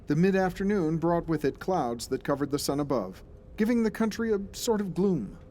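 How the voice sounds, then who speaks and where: An actor playing a grave storyteller, narrating a friend's dark case in a scripted audio drama. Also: male voice, on the high side, animated, moderate pace, a little hiss or hum, natural voice. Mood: grave, ominous.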